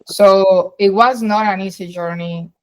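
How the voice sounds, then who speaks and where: A woman's voice: mid-range voice, fairly even intonation, a little slow. A middle-aged woman from Colombia, a native Spanish speaker with a Colombian accent, giving long emphatic answers as an interview guest. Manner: emphatic, explains in long flowing runs with rephrasings. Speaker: guest, data science executive.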